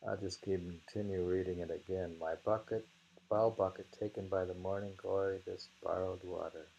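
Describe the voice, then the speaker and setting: An older man reads poems and literary commentary aloud, haltingly, pausing to murmur and muse between lines. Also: low-pitched, level tone, creaky, mellow, muffled recording. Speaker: reader of haiku and commentary. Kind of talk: reading poems and literary criticism aloud with informal asides.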